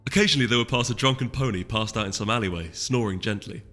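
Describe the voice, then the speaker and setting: Casting: male audiobook reader, bright expressive storytelling, music bed. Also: lowish voice, quick pace. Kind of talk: scripted story narration. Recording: echoey hall reverb, music behind.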